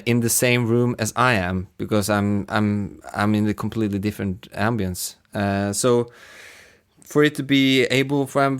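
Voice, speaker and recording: A man speaking as a sound designer in a casual interview, halting as he searches for words. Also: lowish voice.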